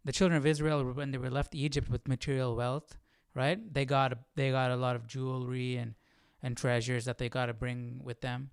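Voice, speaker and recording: Guy on a bible study stream, smooth voice, medium pitch, thinking out loud, no echo. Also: little pitch movement, normal speed, few pauses, clean studio sound. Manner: thinking aloud, often asking "right?". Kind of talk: casual reflective commentary.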